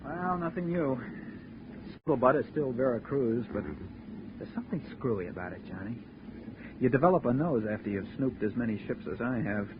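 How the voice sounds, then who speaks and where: A man's voice: medium pitch, animated, brisk, highs cut off, close to an everyday voice. An actor playing a seasoned, fatherly ship investigator, confiding and joking in 1950s radio drama style. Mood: suspicious. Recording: thin, noisy old radio recording.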